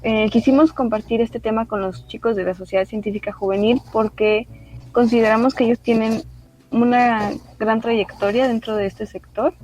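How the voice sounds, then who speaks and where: A woman's voice: fairly high pitch, fairly even intonation, moderate pace, a little mellow. A woman co-hosting a podcast, steadily moderating the questions. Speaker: podcast co-host. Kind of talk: podcast interview chat. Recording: distant, muffled lo-fi mic.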